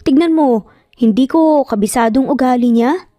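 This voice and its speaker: A woman's voice: high voice, moderate pace, warm tone, no echo, clean studio sound, soft background music, natural voice. A woman voicing a reserved, polite but firm young female employee in a Tagalog audio story, speaking in a formal, restrained way. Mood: defensive.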